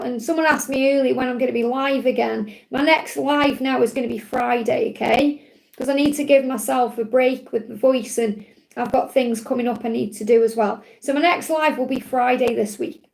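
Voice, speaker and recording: A woman hosting a live spiritual card reading, her voice croaky from overwork, reassuring and repeating her key phrases. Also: high voice, brisk, darkish tone, rough recording. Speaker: spiritual card reader, livestream host.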